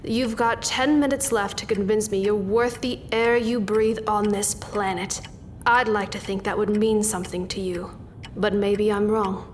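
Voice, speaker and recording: A woman's voice: mid-range voice, steady tone, a little slow, slight background noise, close to an everyday voice. A woman playing a tough, guarded interrogator in an audio drama, with an intense delivery. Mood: hard, sardonic. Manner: terse, sardonic, intense. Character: tough, guarded female interrogator judging a convict's appeal. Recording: long reverb, music underneath.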